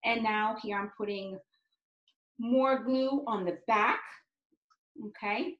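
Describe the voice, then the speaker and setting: A woman in her forties teaching young children in an expressive, encouraging, sing-song way, as in a read-aloud and craft lesson. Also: on the high side, slow pace, lo-fi sound. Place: small indoor home classroom. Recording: roomy, echoey home room sound.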